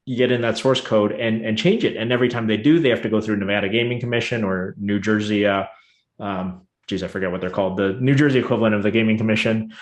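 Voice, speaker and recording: A man's voice: medium pitch, echoey room. A man co-hosting a podcast, talking casually and bluntly with strong opinions. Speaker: podcast co-host.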